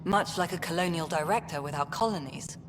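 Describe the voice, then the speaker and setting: An actress playing a jaded, blunt and sardonic colony director in a video game, in a noisy, echoing space. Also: female voice, on the low side, fairly quick, natural voice. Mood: guarded, weary. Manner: sardonic, terse. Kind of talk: video game dialogue acting.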